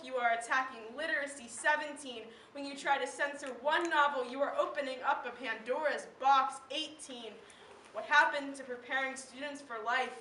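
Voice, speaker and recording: A teenage American girl performs slam poetry with emphatic, declamatory conviction. Her voice is high and bright. She is recorded at a distance from the mic in a live room, pausing deliberately for weight. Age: teen. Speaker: slam poet. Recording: roomy, distant mic, faint background noise.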